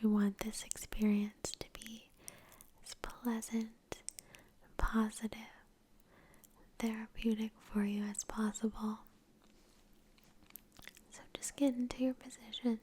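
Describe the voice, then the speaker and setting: Slow, breathy whispering woman. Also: fairly high pitch, flat delivery. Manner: gentle, unhurried, soothing, with long pauses. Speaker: ASMR creator and meditation guide. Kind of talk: whispered ASMR guided meditation. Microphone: close microphone in front of the face.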